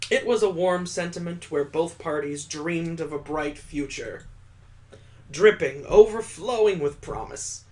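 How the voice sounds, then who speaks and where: Male let's-play host, slightly high voice, casual read-aloud delivery with sarcastic, irreverent asides. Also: animated, unhurried, darkish tone, poor audio. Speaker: gaming video host. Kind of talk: casual let's-play reading with joking commentary.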